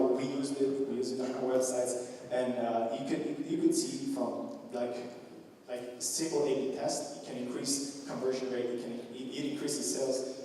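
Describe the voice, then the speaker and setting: A man's voice: mid-range voice, dark tone, a little hiss or hum. A young man from Romania giving a conference talk, loose and full of fillers and restarts. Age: young adult. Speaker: conference speaker. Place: large conference hall. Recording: echoey hall recorded from a distance.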